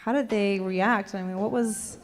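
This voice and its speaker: A woman's voice: medium pitch, fairly even intonation, moderate pace. A woman hosting an interview, prompting her guest in a friendly, chatty way. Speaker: host, interviewer. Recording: long hall reverb.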